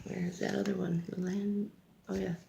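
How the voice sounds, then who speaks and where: An older woman reads a picture book aloud like a grandmother, haltingly, stumbling over words and adding casual asides. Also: mid-range voice, flat delivery, creaky, mellow, slight background noise. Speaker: grandmother reading a storybook aloud.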